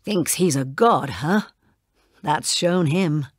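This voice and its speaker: A woman's voice: sits low, takes it slowly, slight background noise. A woman voicing a proud, scornful king of the gods in a children's storybook, commanding and mocking. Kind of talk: children's storybook read-aloud.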